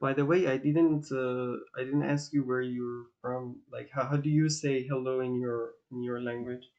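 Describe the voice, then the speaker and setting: A man from northwest Iran, a native Azeri and Farsi speaker, chatting casually with his stream viewers and often pausing to search for words. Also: medium pitch, steady tone, darkish tone, lo-fi sound. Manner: hesitant, searching for words, many restarts and fillers. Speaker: livestream host.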